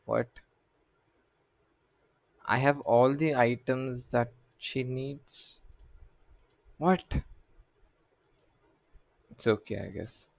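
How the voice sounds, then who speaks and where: A man doing casual live game commentary, halting and muttering to himself as he streams. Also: mid-range voice, vocal fry, dry recording, low-quality recording.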